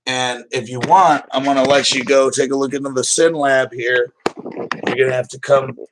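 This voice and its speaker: A man's voice: medium pitch, level tone, normal speed, distant mic, noisy background. A man hosting a live stream, chatting casually and rambling loosely, swearing now and then. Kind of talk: casual livestream chat.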